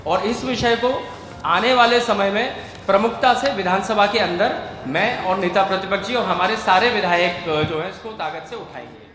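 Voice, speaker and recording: High-pitched man speaking in an echoey hall. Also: moderate pace, a bit bright, a little hiss or hum. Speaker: opposition legislator. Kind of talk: press statement making demands.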